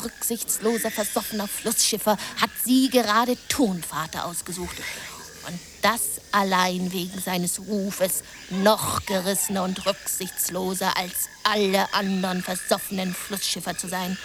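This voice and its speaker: An actress narrating a lurid jungle river expedition in a radio play, theatrical and often dropping into a hushed whisper. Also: female voice, medium pitch, steady tone, a little slow, noisy background, natural voice. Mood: dramatic.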